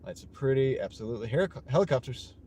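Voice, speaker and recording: A man's voice: mid-range voice, expressive, very fast. A man chatting casually on a live stream while driving his delivery route, joking and rambling, laughing at his own jokes. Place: inside a moving car. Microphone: wireless clip-on mic. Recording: distant mic with loud road noise.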